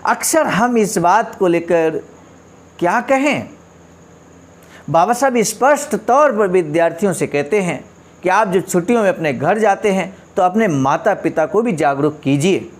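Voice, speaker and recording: A man's voice: high-pitched, expressive, a little slow. A man delivering a motivational address, reading out quotations and explaining them in an emphatic, didactic way with rhetorical questions and repeated points. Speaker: speaker explaining a leader's speech.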